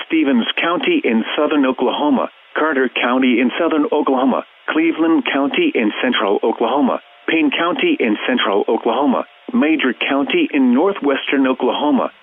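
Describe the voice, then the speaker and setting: A synthetic male voice playing an automated weather radio announcer, reading an emergency bulletin in a flat, measured, mechanical way. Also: mid-range voice, lightly acted. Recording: tinny narrowband radio, hum and hiss.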